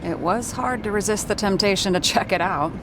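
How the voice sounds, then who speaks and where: A woman's voice: mid-range voice, a little fast, background noise, close to an everyday voice. An actress playing a tough, guarded young woman from the slums in a noir video game, speaking with a dry, determined manner. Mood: bitter, determined. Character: tough, guarded slum-born young woman, vengeful liaison. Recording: loud city and vehicle ambience.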